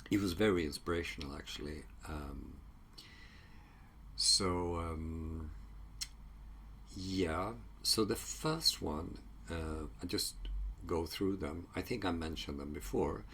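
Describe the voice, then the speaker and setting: A very deep, gravelly-voiced man whose first language is Swedish speaks slowly in a casual solo talk. He halts often with fillers and restarts. Faint noise sits under the recording. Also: pushed and bright. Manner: halting, many fillers and restarts. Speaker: psychology researcher.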